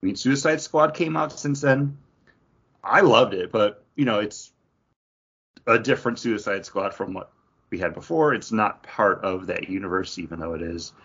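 Husky low-voiced man, slightly muffled. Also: normal speed, a bit bright. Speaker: podcast co-host. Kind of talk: casual pop-culture chat.

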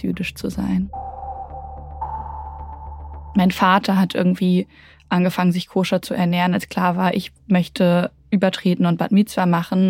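Female author, twenties, relaxed dark tone, flat intonation, hesitant thoughtful conversation. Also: fairly low pitch. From Berlin, Germany. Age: 20s. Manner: hesitant, slipping in English phrases. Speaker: interview guest, author. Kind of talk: reflective conversational interview talk.

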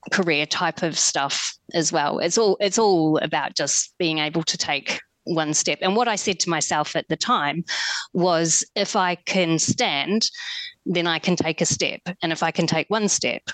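Soft-spoken New Zealand woman, dry sound. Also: low voice, no echo, low-quality recording. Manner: reflective, frequent restarts and fillers. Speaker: guest adventurer. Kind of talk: casual interview talk.

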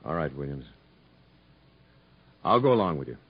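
An actor playing a gruff, skeptical but fair American prison captain in a 1950s radio drama, blunt and authoritative. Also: male voice, rumbling low, very animated, moderate pace, heavily acted. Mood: grudging. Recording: muffled vintage 1950s radio recording.

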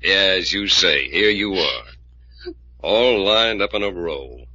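An actor playing a ruthless, bitter American gangster leader with tough, clipped talk, in 1940s radio style. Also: male voice, sits low, expressive, slow, sharp tone, husky, no echo, full character voice. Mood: menacing. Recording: dull vintage radio recording.

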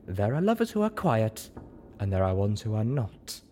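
A man voicing a calm, observant female zebra in an audiobook story, speaking frankly in measured, formal phrases. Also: lowish voice, faint music behind. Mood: dry teasing.

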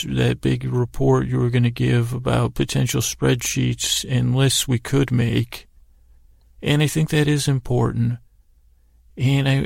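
A man with a creaky, gravelly voice hosting a bedtime podcast, telling a meandering monologue with halting, stammered, repeated words. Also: medium pitch, a little mellow, dry recording, studio quality. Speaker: podcast host. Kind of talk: rambling bedtime sleep-story monologue.

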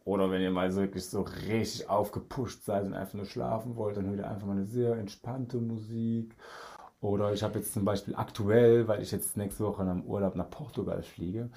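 An upbeat man chats as a podcast guest, laughing often and keeping coming back to the same eager phrases. His voice sits fairly low and sounds warm and dark. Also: steady tone, fairly quick, vocal fry. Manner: enthusiastic, laughs often, repeats "auf jeden Fall". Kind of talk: casual chat.

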